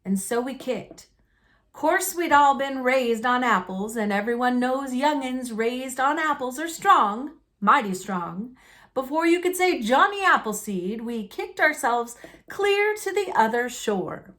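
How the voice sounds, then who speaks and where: Higher-voiced woman reading a children's story. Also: expressive, unhurried, a bit bright. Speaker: storyteller reading a picture book aloud. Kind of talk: expressive read-aloud narration of a children's book.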